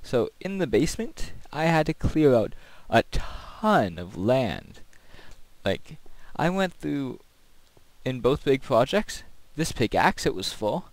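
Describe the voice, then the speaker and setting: A man hosts a gaming video with casual, unscripted commentary. His voice sits at an average male pitch. He rambles along as he plays, explaining things in plain everyday talk. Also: sing-song, slow pace, dry recording.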